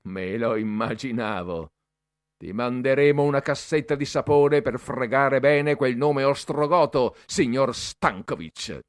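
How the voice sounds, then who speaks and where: A man's voice: mid-range voice, unhurried, dry recording, studio quality, close to an everyday voice. A man voicing a bullying young male cadet who barks harsh, sneering orders and mocks the boys. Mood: mocking. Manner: barked orders, sneering shouts.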